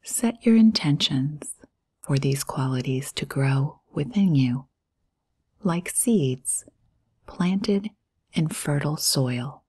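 Female meditation guide, whispery and husky, low register, soothing, short unhurried phrases, long pauses. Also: lively intonation, a little mellow. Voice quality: breathy, whispery.

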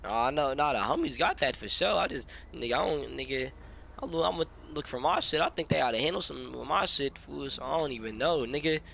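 A man's voice: on the high side, animated, quick pace, vocal fry, sharp tone, slight background noise. An American man talking casually as a game streamer, slangy and swearing heavily, mixing roleplay with asides to his chat.

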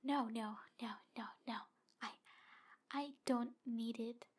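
A woman voicing a jealous, obsessive yandere demon woman in an ASMR roleplay, breathy and halting, often slipping toward a whisper. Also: fairly high pitch, steady tone. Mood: desperate, upset.

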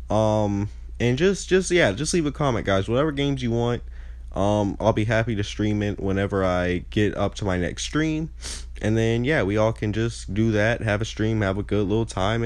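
A man's voice: on the low side, no echo. A young man hosting a gaming channel, chatting casually with a nasal, stuffed-up voice and often losing his train of thought. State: congested. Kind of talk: casual chat over gameplay.

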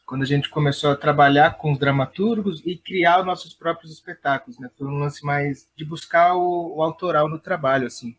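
A man's voice: a little high, fairly quick, few pauses, a little hiss or hum. A man with a São Paulo Brazilian accent speaking casually as an interview guest, hesitant and often checking in as he explains. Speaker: guest, theatre director and playwright.

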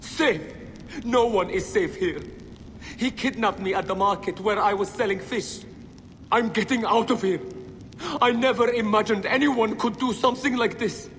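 A terrified market fish seller fleeing captivity. The voice is high and urgent, with long reverb and faint ambient noise. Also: male voice, moderate pace, fairly bright tone. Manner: urgent, frightened.

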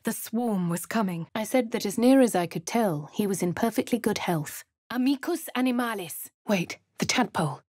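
An actress voicing a posh English woman adventurer in a video game, speaking crisply with a dry, self-assured manner. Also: medium pitch, animated, normal speed, hardly any pauses, a little mellow, dry recording, lightly acted. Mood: wry. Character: posh young woman adventurer, cool and wry. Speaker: player character. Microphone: close studio mic.

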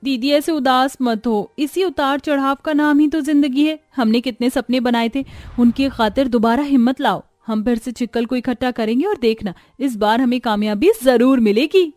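A woman voicing a poor young village sister, earnest and expressive, in an animated moral story. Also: sits high, normal speed, hardly any pauses, no echo, soft background music, natural voice. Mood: encouraging.

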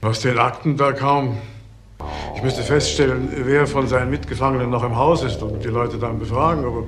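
An actor playing a matter-of-fact prison official in a crime drama, businesslike and obliging. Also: male voice, mid-range voice, steady tone, normal speed, close to an everyday voice. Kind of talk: German TV crime drama acting. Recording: roomy echo, faint noise.

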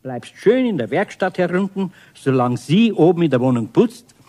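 Husky older Bavarian craftsman. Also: fairly high pitch, very animated, normal speed, a little mellow. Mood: pleading, patient. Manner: homely, coaxing. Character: good-natured, patient older master carpenter. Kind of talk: 1970s German radio play acting.

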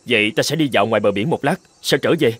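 A man's voice: medium pitch, quick pace, fairly bright tone, no room sound, lightly acted. A man voicing a brawny, honorable but anxious island chieftain in a cartoon fairy tale, speaking in an earnest, pleading manner. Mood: subdued, pleading. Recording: prominent music behind the voice.

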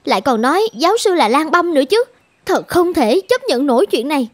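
A woman's voice: high-pitched, big pitch swings, brisk, dry recording. A woman voicing a loyal, earnest young medical assistant in a dramatic cartoon dub, emphatic and urgent. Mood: indignant. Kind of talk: dramatic animated-film dubbing.

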